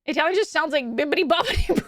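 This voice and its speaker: A woman's voice: high voice, lively intonation, rapid-fire, fairly bright tone, no room sound. A young adult woman streaming from home, chatting casually with her chat. She rambles, restarts her sentences often and laughs a lot.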